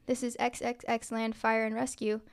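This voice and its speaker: A woman's voice: fairly high pitch, flat delivery, normal speed, creaky. A woman voicing an official emergency dispatcher on a police scanner in a story, formal and procedural. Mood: official, urgent.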